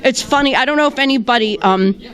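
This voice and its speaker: A woman actress answering red carpet questions, hesitant, with many pauses and restarts. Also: high voice, fairly bright tone.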